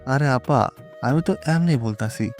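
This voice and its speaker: A man's voice: medium pitch, measured pace, a little mellow, soft background music, lightly acted. An actor playing an exasperated, casual grown son in his thirties with a Bangladeshi Bengali dialect, in an audio story. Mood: placating, exasperated.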